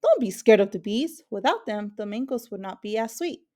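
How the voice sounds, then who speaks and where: A woman voicing a kindly, encouraging father in a children's storybook, gently questioning and advising. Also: fairly high pitch, lively intonation, moderate pace, lightly acted. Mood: reassuring.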